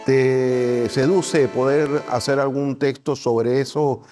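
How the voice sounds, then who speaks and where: A man speaks as a podcast interviewer in a medium-pitched voice. His delivery is casual and conversational, feeling its way through each point. Soft music plays faintly behind him. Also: fairly even intonation, slow pace.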